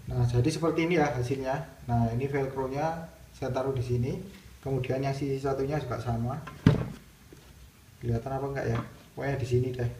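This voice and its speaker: A man's voice: medium pitch, steady tone, a little fast, pauses often. A man narrating a casual hands-on tutorial, explaining it step by step as the host. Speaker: video host demonstrating product installation. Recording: roomy, distant mic, clear background noise.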